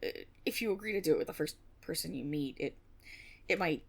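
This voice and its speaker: A woman's voice: low voice, animated, brisk, heavily acted. A woman playing an eager, nervous young female tiefling rogue in an intimate fantasy audio roleplay, rambling and stammering with a teasing streak. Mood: flustered, apologetic. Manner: nervous rambling with stammers, sighs and breathy giggles.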